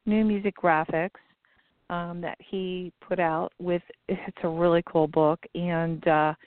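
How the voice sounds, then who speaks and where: A woman hosting an internet radio show in casual chat, sounding stuffy and raspy, with many ums and uhs. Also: fairly low pitch, no echo. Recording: tinny phone-line sound.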